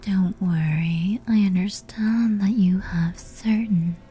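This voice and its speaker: A woman voicing a seductive, menacing female demon who toys with her prey in a teasing, breathy ASMR roleplay whisper. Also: medium pitch, slow pace, mellow, faint music behind, natural voice. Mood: intrigued, possessive.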